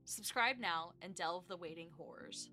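A woman's voice: fairly low pitch, moderate pace, music in the background. A woman reading scripted promotional announcements as a podcast producer.

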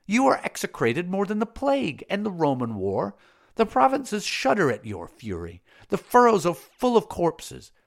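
A man's voice: high-pitched, huge pitch swings, normal speed, creaky, voice pushed up, exaggerated voice. A man voicing a proud young priestess in an audiobook, pleading and accusing by turns. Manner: pleading, then accusing and bitter. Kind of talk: audiobook character voice.

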